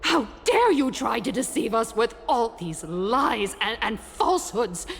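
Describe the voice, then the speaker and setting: A woman's voice: a little high, sing-song, unhurried, vocal fry, fairly bright tone, a little hiss or hum, heavily acted. An actress playing a proud, indignant female council elder, sputtering with outrage in a game cutscene. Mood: outraged.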